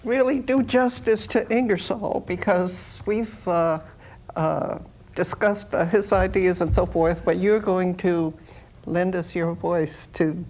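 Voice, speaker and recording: A woman hosting a casual discussion in a halting, conversational way. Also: fairly low pitch, fairly even intonation, a little mellow. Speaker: panel host.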